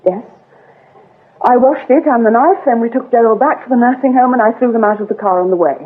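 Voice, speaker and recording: Husky, hesitant British vicar's daughter. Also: female voice, fairly high pitch. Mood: subdued, anxious. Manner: hesitant, halting, subdued. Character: guarded vicar's daughter, shaken but confessing. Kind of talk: British radio drama acting. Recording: muffled, phone-like old radio recording.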